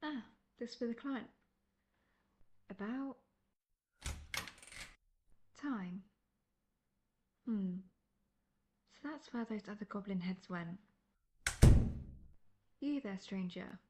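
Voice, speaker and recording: A woman playing a seasoned, world-weary female monster hunter in a fantasy audio roleplay, speaking in a measured, formal, dryly condescending way. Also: mid-range voice, lively intonation, lo-fi sound, very noisy. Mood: curious, appraising.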